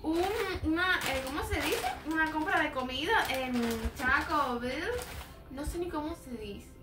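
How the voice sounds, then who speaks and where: A high-pitched young woman with a Cuban accent talks in a chatty, excitable way, breaking into quick exclamations. She films herself on a phone in a small dining room at home. Music plays clearly beneath her voice. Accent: Cuban Spanish. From Cuba. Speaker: vlogger. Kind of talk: casual show-and-tell vlog.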